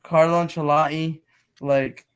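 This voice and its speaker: A man's voice: a little high, little pitch movement, unhurried, clean tone. A nineteen-year-old man chatting casually as a guest, rambling and earnest, repeating himself. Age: young adult. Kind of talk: casual chat.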